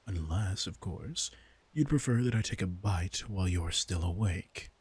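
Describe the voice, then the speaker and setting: A man playing an arrogant, teasing, centuries-old vampire king in an intimate audio roleplay, casual and sardonic, with a low, gravelly voice. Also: lively intonation, a little slow, no echo, full character voice. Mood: impatient, teasing. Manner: casual, sardonic, swearing, coaxing his prey.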